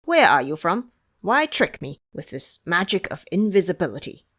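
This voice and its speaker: A woman's voice: fairly low pitch, very animated, moderate pace, a bit bright. A woman playing a haughty, hot-tempered young crown prince in an audio drama, speaking in an imperious, formal way. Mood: scornful.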